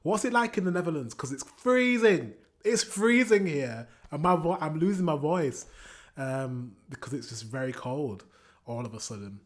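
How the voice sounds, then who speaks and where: A hoarse British man who is losing his voice, hosting a reaction video and thinking aloud in casual, rambling commentary with frequent restarts. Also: fairly high pitch, lively intonation, normal speed. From Britain.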